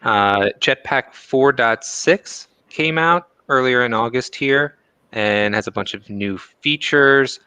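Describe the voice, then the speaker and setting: A man with a bright, forceful voice hosts a live tech stream in a casual, chatty way. His voice is fairly low. The sound is dry and close. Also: no room sound. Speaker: host.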